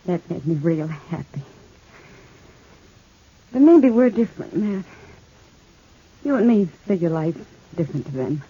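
A proud Western outcast, world-weary and tender. Her voice is husky and her talk plain and folksy. The recording is a dull 1950s radio broadcast. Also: on the low side, sing-song, measured pace, pauses often, full character voice. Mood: resigned, soothing. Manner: plain-spoken, folksy, intimate.

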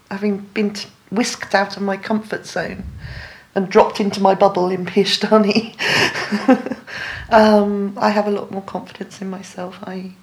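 A middle-aged woman from England speaks in an English accent, answering questions in a relaxed interview. She talks in a measured, reflective way, stopping often to gather her thoughts with an um. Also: mid-range voice, gravelly. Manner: thoughtful, measured, frequent ums. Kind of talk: casual interview chat.

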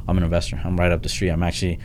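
A man's voice: low voice, monotone, very fast, darkish tone, slight background noise. A young man talking as a real estate investor guest in a casual interview, blunt and plain-spoken, often restarting his sentences and dropping in "like" and "man.". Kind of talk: casual podcast interview talk.

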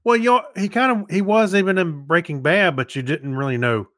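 A man co-hosting a podcast, chatting casually about shows in a halting way, often searching for words. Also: high voice, fairly bright tone, no room sound, studio quality. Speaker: podcast co-host.

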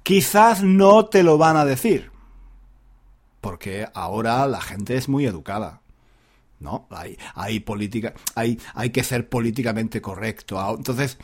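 A man from southern Spain hosts an informal podcast for learners, theatrically repeating phrases and asking rhetorical questions. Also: mid-range voice. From Granada, Andalusia, Spain. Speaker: Spanish teacher, podcast host.

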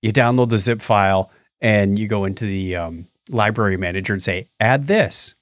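A man's voice: on the low side, normal speed, dry recording, clean studio sound. A man hosting a podcast in casual chat, with a techy, wry and jokey way of talking. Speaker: podcast host.

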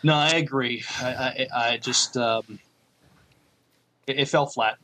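Medium-pitched man, halting podcast chat. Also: sharp tone, slight background noise. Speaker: podcast co-host. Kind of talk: casual TV review chat.

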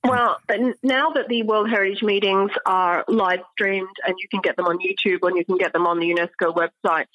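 A woman speaking as an academic expert in an interview, explaining in an articulate way with pauses mid-phrase. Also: mid-range voice, steady tone, dry recording, highs cut off.

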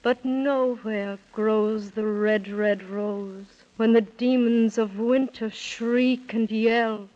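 A gentle fairy queen, speaks slowly and solemnly in rhyme with a fairly high voice. It is stately 1930s children's radio acting on a muffled old recording. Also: steady tone. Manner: stately, measured rhyming speech.

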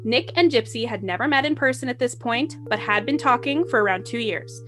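A woman's voice: fairly high pitch, animated, a little fast. A woman hosting a podcast, delivering scripted narration as the narrator. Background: music.

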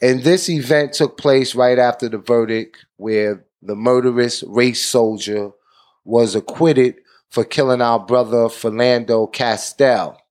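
A man hosting a podcast, giving deliberate commentary in a preaching tone. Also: medium pitch, steady tone, a little mellow. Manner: deliberate, preaching tone, frequent "you know". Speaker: podcast host.

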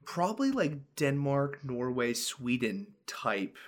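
Excitable man chatting on a podcast. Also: medium pitch, takes it slowly. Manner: enthusiastic, many fillers and restarts. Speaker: podcast co-host. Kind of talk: casual podcast chat.

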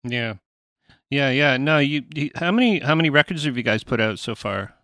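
A man hosts a podcast, chatting loosely and rambling a bit between questions. His voice is bright and crisp, recorded dry and close with no room sound. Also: medium pitch, moderate pace, no room sound. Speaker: podcast host. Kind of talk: casual podcast hosting.

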